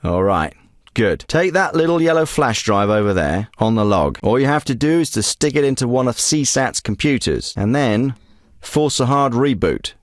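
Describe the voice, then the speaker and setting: Man doing a special forces handler, terse, businesslike, expressive pitch, dry studio sound. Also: moderate pace, no room sound, lightly acted. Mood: urgent. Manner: terse, businesslike radio-style briefings. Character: clipped, steady male military handler, middle-aged. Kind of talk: video game voice acting. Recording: dry close studio recording.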